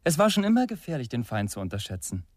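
A man with a mid-pitched voice as a self-assured, condescending extremist in a radio crime play. He speaks with controlled, veiled menace in a dry, close recording. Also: huge pitch swings, quick pace, no echo. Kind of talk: German radio crime drama acting.

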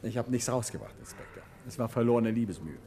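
An actor playing a confident, jovial gentleman detective in a 1950s radio play, brisk and cheerful with his wife, guarded with the police. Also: medium pitch, expressive, close to an everyday voice. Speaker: detective, husband.

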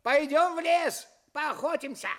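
Shrill, greedy rich master. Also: female voice, unhurried. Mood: falsely cheerful. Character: greedy, scheming rich master, male, easily enraged. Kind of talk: audio fairy-tale play acting.

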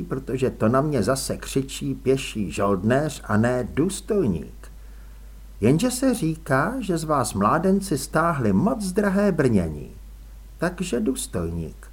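A man voicing a sharp-eyed, self-assured young woman in a storybook, reasoning briskly in a raised voice. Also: mid-range voice, husky.

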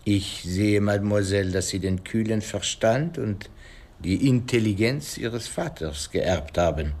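An actor playing a shrewd, courteous detective in a radio play, speaking formally and deliberately with French phrases and musing hesitations. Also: male voice, lowish voice, darkish tone. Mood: courteous, probing. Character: shrewd, courteous male detective, pensive and probing.

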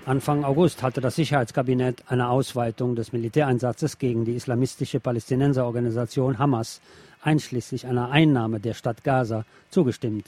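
Newsreader, man with a mellow voice. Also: medium pitch, steady tone, slight background noise. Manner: measured, formal read-out delivery. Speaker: news reader. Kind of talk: scripted news narration.

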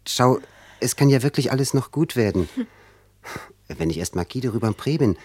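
A man's voice: mid-range voice, lively intonation, moderate pace, darkish tone, slightly rough, no echo, clean studio sound. A man acting in a German radio play as an ambitious young writer, a devoted but anxious and bitter lover. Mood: hopeful, persuading.

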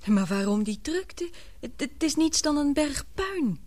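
A woman playing an emotional young English daughter and fiancée in a radio drama, earnest and expressive, with a breathy voice. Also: high voice, a little slow, dry recording. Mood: concerned, reluctant.

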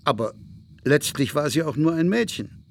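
An actor playing a knowing townsman who recalls a local tale in a deliberate, reflective way, in a radio play. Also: on the high side, animated, vocal fry, slight background noise. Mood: knowing, wry. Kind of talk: radio drama storytelling.

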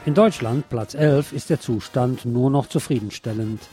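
Male radio presenter, mid-range voice, scripted delivery over clear background music. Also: mid-range voice, moderate pace. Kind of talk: scripted news reading, later live eyewitness report.

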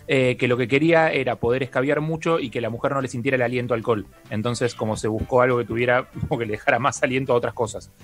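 A man's voice: mid-range voice, steady tone, quick pace, few pauses, faint music behind. An Argentine man co-hosting a talk show, telling anecdotes in a joking way. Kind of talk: casual banter on a talk show.

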